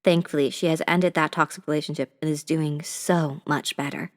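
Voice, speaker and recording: A woman's voice: sits low, fairly even intonation, moderate pace, no echo, studio quality. A woman reading a first-person scary story as a scripted narration. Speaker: narrator reading listener-submitted ghost stories. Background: music.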